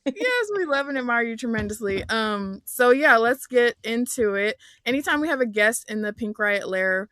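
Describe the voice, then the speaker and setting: A woman from the West Coast co-hosting a comics interview show, gushing like an excited fan. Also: fairly high pitch, normal speed, no echo, studio quality. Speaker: podcast co-host. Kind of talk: casual interview chat.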